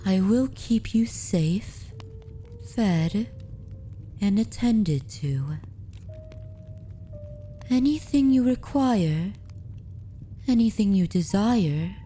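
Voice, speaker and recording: A woman playing a dominant, possessive yet tender vampire mistress in an ASMR roleplay, speaking softly and intimately in a formal, courtly manner. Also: mid-range voice, animated, soft background music. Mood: coaxing, possessive. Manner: slow, deliberate, formal and courtly. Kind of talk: ASMR roleplay, soft intimate delivery with whispers.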